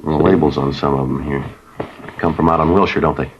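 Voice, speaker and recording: A no-nonsense police sergeant fires off clipped, impatient questions at a very fast pace in a very low voice. It is an old radio drama recording, dull and lo-fi, with a clear hiss. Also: male voice, fairly even intonation. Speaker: police detective. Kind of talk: 1950s radio crime drama acting.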